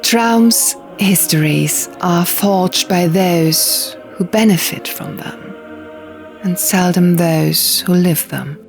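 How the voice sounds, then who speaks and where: A woman voicing an earnest young man who recounts dark ancient lore in an audiobook, measured and theatrical, pausing for effect. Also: lowish voice, vocal fry. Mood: solemn storytelling. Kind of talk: audiobook character dialogue. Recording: music bed under the reading.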